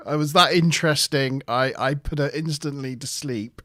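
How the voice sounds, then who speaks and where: Deep-voiced man hosting a show. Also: moderate pace, a bit bright, no echo, clean studio sound. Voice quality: deep, boomy. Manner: jokey, laughs often, playful announcer voice for the intro. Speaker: podcast host. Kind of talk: casual livestream chat. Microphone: good desk mic.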